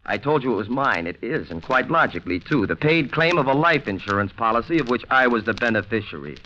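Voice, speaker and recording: An American actor playing a calm, sardonic avenger with mocking politeness in a 1940s radio thriller. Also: male voice, mid-range voice. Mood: quietly triumphant. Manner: mocking mock-politeness, deliberate. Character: calm sardonic avenger holding killers at gunpoint. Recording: muffled, noisy 1940s radio recording.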